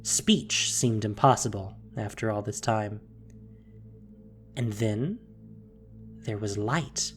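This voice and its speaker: A narrator reading a dramatic audio story theatrically, with deliberate pauses and hushed emphasis, in a scripted style. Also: medium pitch. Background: music.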